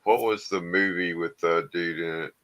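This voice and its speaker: A very deep, crisp-edged man's voice, the kind heard on a laid-back pop culture panel. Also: fairly even intonation, normal speed, bright, forceful tone. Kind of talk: casual chat.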